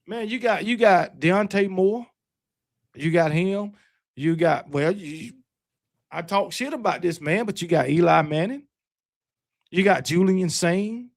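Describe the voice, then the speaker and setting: A high-voiced man with a Southern US accent hosts casual sports talk. He speaks bluntly and emphatically, arguing his point and repeating it to drive it home. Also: measured pace, pauses often. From Alabama, United States. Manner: blunt, argumentative, emphatic, repeats himself for effect. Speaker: sports talk show host. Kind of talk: casual live sports debate.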